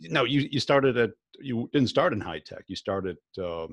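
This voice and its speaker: A man's voice: medium pitch, expressive, vocal fry, fairly bright tone, no echo, clean studio sound. A man hosting a sales podcast, asking questions in a casual, joking way. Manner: casual, joking, quick interjections. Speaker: podcast host. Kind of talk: interview conversation.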